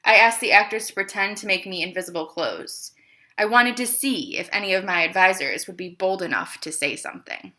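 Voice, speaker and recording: A clever, slightly sheepish emperor read by a woman tells his own storybook tale. The voice is bright and mid-pitched, confiding and expressive, full of rhetorical questions and self-justification. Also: fairly quick. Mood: scheming, dramatic. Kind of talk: children's storybook read-aloud.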